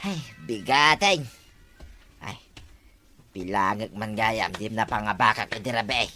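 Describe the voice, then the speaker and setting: Bright, expressive Ilocano gambler played by a man. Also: fairly low pitch, slow pace, soft background music, exaggerated voice. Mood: gloating. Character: gleeful male village gambler flush with winnings. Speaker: actor in a comedy drama. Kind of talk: amateur Ilocano comedy drama acting.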